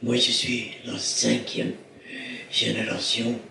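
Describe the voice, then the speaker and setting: Raspy whispery older man, slow. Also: mid-range voice, completely flat delivery, pushed and bright. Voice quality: raspy, half-whispered voice after throat surgery. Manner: slow, halting, searches for words. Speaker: circus director, interviewee. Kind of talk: storytelling on stage. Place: theatre stage before an audience. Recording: echoey hall, distant mic, faint noise.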